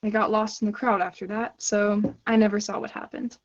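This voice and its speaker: A woman's voice: medium pitch, flat delivery, brisk, close to an everyday voice. An actress playing a wistful, chatty woman in a conversational stage monologue, musing reflectively as if confiding in a listener. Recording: dull lo-fi conference call audio.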